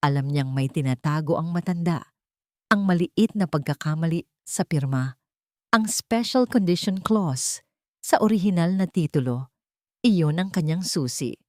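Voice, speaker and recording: A woman's voice: deep voice, lively intonation, unhurried, mellow, no room sound, studio quality. A woman reading a scripted dramatic story as its narrator.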